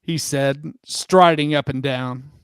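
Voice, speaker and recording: A man's voice: on the high side, fairly even intonation, slow, clean tone, dry recording. A man narrating a comic dramatic storybook reading in a theatrical, irreverent, sweary manner with asides. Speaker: host and narrator.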